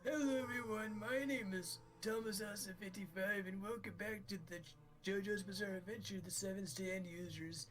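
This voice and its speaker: A hoarse young man, guest voice actor on a comedic fan dub, playfully putting on a low old-man voice for his lines. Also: steady tone, moderate pace, few pauses, poor audio. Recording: voice with loud music behind.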